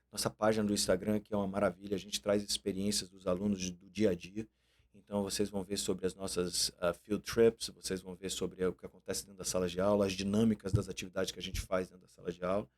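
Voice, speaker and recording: Brazilian man, guest school owner, low voice, rapid upbeat pitch. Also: little pitch movement. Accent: Brazilian Portuguese. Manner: promotional, upbeat. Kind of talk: casual interview chat.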